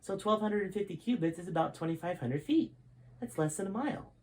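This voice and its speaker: A bright-minded teenage boy from a storybook, put on by a male narrator. His voice sits high. He is eager and pert, explaining things with a slightly superior air. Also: expressive, fairly quick, poor audio. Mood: smug. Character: eager, know-it-all fourteen-year-old boy.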